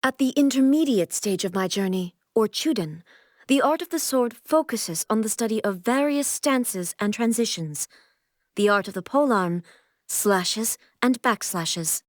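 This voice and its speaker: An actress voicing a solemn, aloof immortal shogun and swordswoman in a video game, with formal, measured, stately delivery. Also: mid-range voice, lively intonation, dry recording, studio quality, lightly acted. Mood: proud, instructive. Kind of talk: video game character voice lines.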